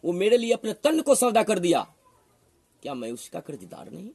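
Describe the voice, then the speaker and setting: A man's voice: high-pitched, sing-song, moderate pace, gravelly, a little mellow. A man with a Bihari accent arguing like a preacher, assertive and admonishing, often putting rhetorical questions. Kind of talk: heated argument, preaching.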